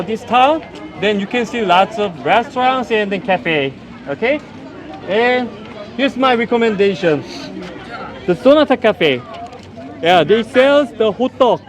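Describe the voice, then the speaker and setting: A man with a Korean accent working as a tour guide, explaining a route to tourists in a halting, instructive way and often checking they follow. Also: high voice, expressive, music in the background. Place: outdoors at a busy tourist site. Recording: crowd chatter, outdoor live capture. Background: crowd noise.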